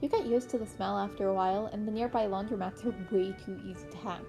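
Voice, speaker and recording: A woman's voice: mid-range voice, fast talker, close to an everyday voice. A woman voicing a fifteen-year-old boy vigilante in an amateur audio story, blunt and offhand, with music underneath. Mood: nonchalant.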